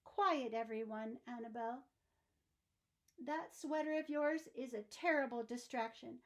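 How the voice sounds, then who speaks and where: A woman's voice: on the high side, unhurried. A woman voicing a stern, exasperated male schoolteacher in a children's storybook, scolding emphatically. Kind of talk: children's storybook read-aloud.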